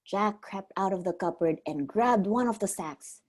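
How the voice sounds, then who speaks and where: A woman reading a storybook aloud like a preschool teacher, expressive and simply worded for small children. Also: medium pitch, normal speed.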